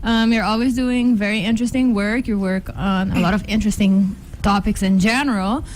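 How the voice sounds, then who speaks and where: A woman's voice: medium pitch, steady tone, a little fast, a little mellow, poor audio. A woman hosting a radio interview in a chatty, upbeat way, laughing often and switching languages mid-sentence. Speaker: radio show host.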